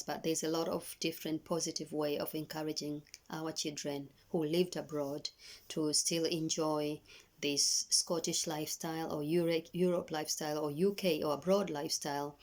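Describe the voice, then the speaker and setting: A middle-aged woman from Tanzania with a Tanzanian accent speaks in a low voice. Swahili is her first language. She offers parenting advice earnestly, often pausing and restarting her sentences. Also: darkish tone. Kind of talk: personal video message.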